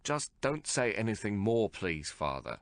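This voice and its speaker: A man's voice: fairly low pitch, very animated, unhurried, dry recording. A man voicing a placid, conservative middle-aged son who pleads and apologizes. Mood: pleading.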